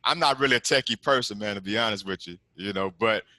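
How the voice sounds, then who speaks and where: A man's voice: on the low side, fast talker, pushed and bright, dry recording, clean studio sound. A middle-aged man from Texas, a commercial lender speaking as a guest on a video call, talking in a loose, rambling way and dropping "man" and "you know" often. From Galveston, Texas. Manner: laid-back, rambling, frequent "man" and "you know what I'm saying". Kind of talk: casual interview over video call.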